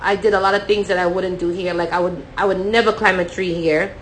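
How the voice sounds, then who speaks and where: A woman's voice: on the low side, fairly even intonation, fairly quick, a little hiss or hum, low-quality recording. A woman in her thirties from Jamaica with a Jamaican accent, hosting a podcast and chatting in a storytelling way straight to her listeners. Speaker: podcast host. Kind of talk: casual podcast monologue.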